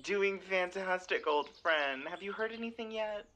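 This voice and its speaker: A man's voice: high-pitched, moderate pace, bright, forceful tone. A man playing a chipper, folksy old friend of a park ranger in an audio roleplay.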